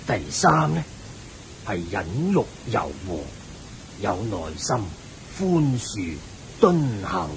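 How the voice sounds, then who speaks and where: A man's voice: very animated, vocal fry, full character voice. An actor playing a wise, benevolent Buddhist layman who teaches patiently in a Hong Kong Cantonese radio drama. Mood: patient teaching. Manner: measured, benevolent, didactic. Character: kindly, wise male Buddhist layman sage. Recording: hissy, rumbly old radio recording.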